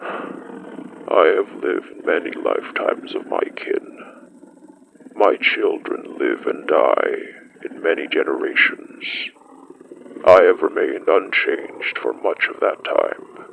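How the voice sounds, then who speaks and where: An actor playing an ancient, solemn and menacing spirit beast with a breathy, growling voice and slow, archaic phrasing in an audio drama. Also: low voice, expressive, phone-quality sound, a little hiss or hum, full character voice. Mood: solemn, ominous.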